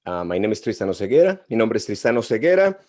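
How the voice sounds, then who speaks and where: A man from Mexico City with a Mexican Spanish accent hosting an interview in halting English, often restarting his sentences. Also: on the low side, big pitch swings, darkish tone. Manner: halting, with many restarts and filler sounds. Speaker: interview host. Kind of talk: casual interview.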